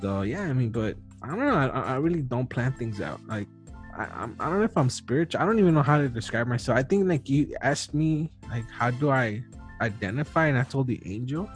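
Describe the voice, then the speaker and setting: A man's voice: mid-range voice, animated, brisk. Thirtyish guy rambling on his podcast, um and like everywhere, faint music behind. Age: 30s. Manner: rambling, many fillers and restarts. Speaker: podcast host. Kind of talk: casual chat.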